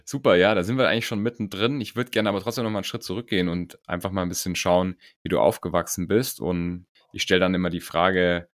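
A man with a very deep voice hosts a podcast interview at a brisk clip. He is chatty and upbeat, with fillers and quick asides. His voice sounds dry and close to the mic. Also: steady tone, no echo. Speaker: podcast host.

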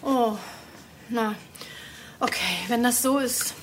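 A worried, loving mother from a children's audio play. Her high voice sounds almost teenage as she frets and reproaches. Faint noise sits behind her. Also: takes it slowly. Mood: apologetic, upset. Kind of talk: children's audio drama acting.

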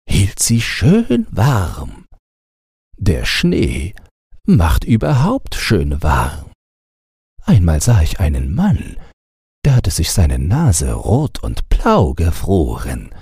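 Wistful storybook snowman, read by a man: plaintive, mellow, swooping gently in pitch. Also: slightly rough, no echo, studio quality, heavily acted. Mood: chatty, musing. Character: wistful talking snowman telling of his travels.

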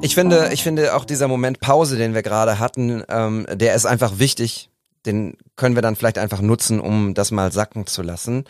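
A man's voice: fairly low pitch, normal speed, darkish tone, soft background music. A man hosting a podcast, talking casually with many hesitations and fillers. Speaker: podcast host.

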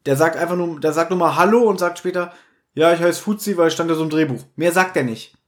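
A man's voice: fairly high pitch, fast. A man co-hosting a podcast, chatting casually and animatedly as he reviews audio dramas. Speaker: podcast co-host.

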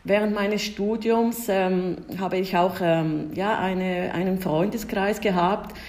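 A woman in her forties with an Italian-speaking Swiss accent, Italian being her first language, tells her story as a podcast guest. She pauses often on filler sounds yet stays lively, in a mid-pitched voice. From Ticino, Switzerland. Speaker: diplomat guest.

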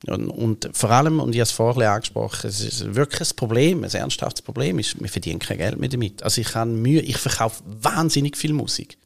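Swiss german guy in his 40s, chatty podcast guest, bit of fry in the voice, keeps interrupting himself, emphatic. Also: medium pitch, a little fast, hardly any pauses. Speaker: musician, children's band leader, interview guest.

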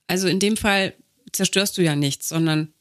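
A woman's voice: fairly low pitch, moderate pace, dry recording. A woman co-hosting a podcast, chatting casually in a playful, joking way. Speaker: podcast co-host. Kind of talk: casual chat. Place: close-miked in a dry room.

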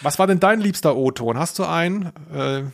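A man's voice: on the high side, expressive, normal speed, creaky. A man co-hosting a podcast, talking casually in a jokey, tongue-in-cheek way. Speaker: podcast host.

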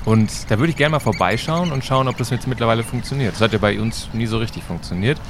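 A man's voice: mid-range voice, fast talker, noisy background, close to an everyday voice. A man playing an inquisitive, easygoing time-travelling battery expert in an audio drama, speaking casually and a little hesitantly. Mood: hesitant, eager. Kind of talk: amateur educational audio drama dialogue.

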